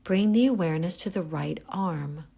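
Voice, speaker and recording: A woman guiding a yoga nidra relaxation, giving calm, gentle, unhurried instructions with pauses. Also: on the low side, vocal fry, breathy edge. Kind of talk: guided relaxation meditation.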